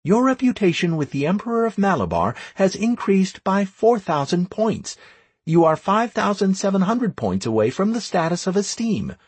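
A man voicing a formal, declamatory game system announcer in a fantasy audiobook, making brisk proclamations. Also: high voice, big pitch swings, husky, no echo, voice pushed up, exaggerated voice.

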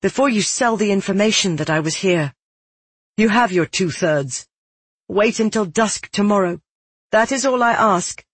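A woman's voice: medium pitch, big pitch swings, unhurried, breathy edge, no echo, pitched above the performer's own voice. A woman voicing a stubborn, desperate young woman who pleads urgently in a dramatic audiobook. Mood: pleading. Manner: urgent, emotional, defiant. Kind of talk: dramatic audiobook character acting.

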